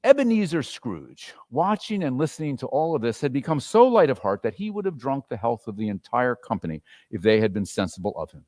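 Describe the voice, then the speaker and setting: A man giving an expressive, theatrical dramatic reading of a story as narrator. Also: mid-range voice, a little fast, few pauses. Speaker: dramatic reader.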